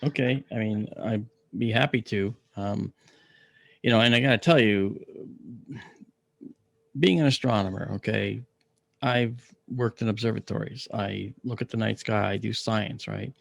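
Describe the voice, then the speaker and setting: A middle-aged man with a deep, gravelly voice from a paralyzed vocal cord, telling a story in an interview, clearing his throat often and using many fillers. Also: measured pace, no room sound. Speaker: interview guest, astronomer.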